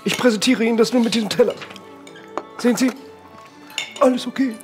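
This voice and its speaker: A man's voice: very high voice, brisk, over music. Man playing a fussy, formal manor butler. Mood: stiff, pedantic. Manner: formal, pedantic.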